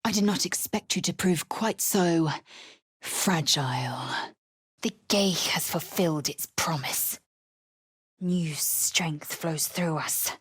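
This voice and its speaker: A woman playing a proud, fierce young female alien warrior in a video game, with a raspy voice and clipped, haughty, deliberate delivery. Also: no echo, clean studio sound, full character voice. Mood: commanding, resolute. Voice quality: raspy, husky, breathy when low. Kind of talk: video game character acting.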